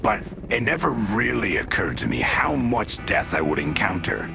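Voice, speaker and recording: A man's voice: lowish voice, sing-song, moderate pace, gravelly, noisy background, full character voice. A man playing a weary, world-worn mercenary, narrating a grim survival log in scripted first-person noir style. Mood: brooding. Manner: gritty, brooding, world-weary, swears. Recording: voice over loud game music.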